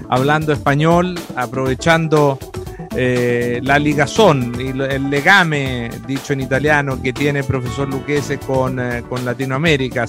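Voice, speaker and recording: A man interviewing a guest on the radio in a conversational, hesitant way. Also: mid-range voice. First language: Spanish.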